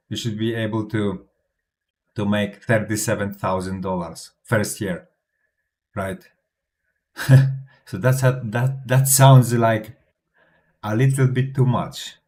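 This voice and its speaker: A man's voice: fairly low pitch, a little mellow. A man from Poland with a Polish accent, talking casually to camera as a crypto YouTuber, chatty and self-assured, often restarting his sentences with small laughs.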